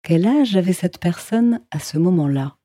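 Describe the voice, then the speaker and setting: A man with a soft, husky voice reading brief scripted questions as an interviewer. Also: high voice, animated, normal speed, no echo, studio quality. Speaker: interviewer asking short questions.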